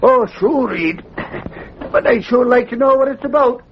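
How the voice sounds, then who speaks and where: A man's voice: extremely high pitch, normal speed. An actor playing a nosy, blunt male sidekick in an old radio drama, talking informally and eagerly. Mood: curious. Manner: informal, inquisitive. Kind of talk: 1940s radio drama acting. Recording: muffled old radio recording, faint noise.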